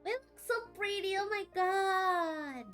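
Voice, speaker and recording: A woman's voice: very high-pitched, takes it slowly, fairly bright tone, soft background music. A woman giving casual let's play commentary as a streamer, informal, giggly and reactive in her manner. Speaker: streamer playing a game.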